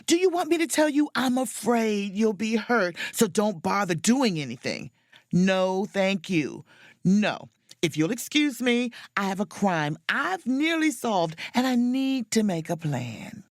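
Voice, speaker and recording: Actress as proud woman sleuth, sharp-witted, flirtatious, theatrical, animated pitch, dry studio sound, sci-fi audio drama. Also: a little slow, no echo. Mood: indignant. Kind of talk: audio drama acting with first-person narration.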